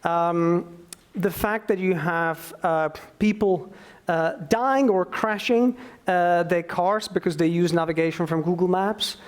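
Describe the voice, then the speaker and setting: A man from Slovakia with a somewhat high voice gives a lecture as guest speaker. He is a law professor and talks in a lively way, often pausing with "um" as he goes. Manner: lively, many "um" fillers. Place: event venue.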